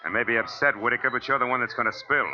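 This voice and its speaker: An actor playing a tough, cynical American private detective in a 1940s radio drama, terse and sardonic. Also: male voice, medium pitch, fairly even intonation, racing, a crowd in the background, lightly acted. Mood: accusing, tough. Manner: terse, sardonic, hard-boiled. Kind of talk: 1940s radio drama acting, hard-boiled first-person narration and dialogue. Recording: muffled old radio recording with music.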